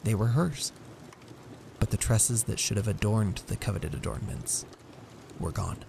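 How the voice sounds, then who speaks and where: A man giving a measured, theatrical reading of a story as scripted narration. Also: on the low side, creaky, a little mellow, a little hiss or hum. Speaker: story reader.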